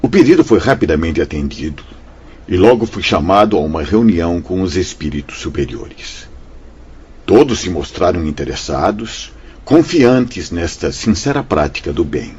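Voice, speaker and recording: An actor playing a gentle, earnest male spirit priest who recounts his mission solemnly and emotively in a radio drama. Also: sits low, big pitch swings, normal speed, breathy edge, full character voice. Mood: solemn storytelling. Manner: solemn, emotive, formal diction. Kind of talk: Brazilian radio drama narration, theatrical. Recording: dull studio track with music underneath.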